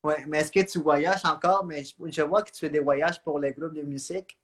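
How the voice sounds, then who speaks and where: A man's voice: on the high side, steady tone, normal speed. A man hosting a live interview, chatty and full of "ouais" and "tu sais". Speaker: live stream host. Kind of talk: casual interview.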